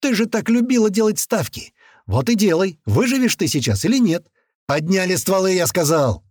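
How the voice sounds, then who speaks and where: A man's voice: high-pitched, big pitch swings, moderate pace, a little mellow, no echo, studio quality, voice pushed up. A man voicing a vengeful, mocking young gunman in an audiobook, barking and hissing commands with theatrical flair. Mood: taunting anger.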